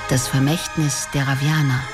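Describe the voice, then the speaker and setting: A woman's voice: extremely low pitch, little pitch movement, normal speed, over loud music. A woman giving scripted narration and title announcements for a fantasy radio drama.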